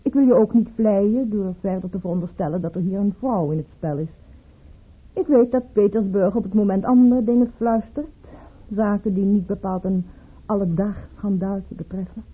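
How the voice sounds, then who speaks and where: An actress playing a proud, coquettish, insistent baroness in an old-fashioned radio drama, arch and theatrical, with a husky, breathy voice. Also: female voice, medium pitch, expressive, normal speed. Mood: knowing, insistent. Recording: muffled, phone-like old radio recording.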